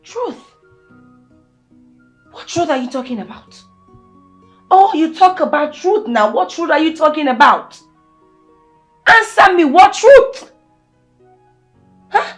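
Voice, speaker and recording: Woman doing a mean jealous wife yelling at everyone, high voice, echoey room. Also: expressive, a little slow, pauses often, faint music behind. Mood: scolding. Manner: scolding, insulting, repeated angry questions. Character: bullying jealous wife, loud and threatening. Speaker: actress in a domestic skit.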